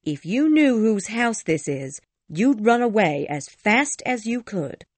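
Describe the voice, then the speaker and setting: A woman's voice: medium pitch, wildly swooping pitch, takes it slowly, no echo, exaggerated voice. A woman playing a stern, worried servant who minds a young girl in an audiobook story, scolding in a serious, emphatic way. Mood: stern, urgent. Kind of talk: dramatised audiobook dialogue.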